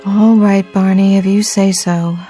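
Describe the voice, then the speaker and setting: An actress playing an exhausted, anxious club dancer in a radio drama, fretful and protesting. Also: female voice, medium pitch, little pitch movement, measured pace, mellow, faint music behind, close to an everyday voice. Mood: weary.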